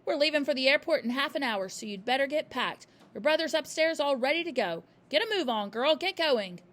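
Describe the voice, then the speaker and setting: A woman's voice: high-pitched, animated, fairly quick, fairly bright tone, pitched above the performer's own voice. A woman doing a snappish bullying father. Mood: brusque. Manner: barking, snappish, impatient. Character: gruff bullying middle-aged father. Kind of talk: storybook reading.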